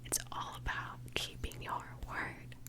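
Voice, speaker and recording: A young woman from Mexico, an ASMR creator, whispering a candid, chatty question-and-answer session. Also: unhurried.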